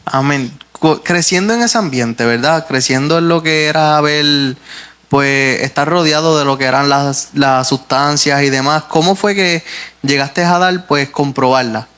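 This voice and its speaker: A Puerto Rican man hosts a faith podcast, speaking at a slightly raised pitch. He leads the talk with earnest, reflective questions. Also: unhurried, a bit bright, smooth. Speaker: podcast host.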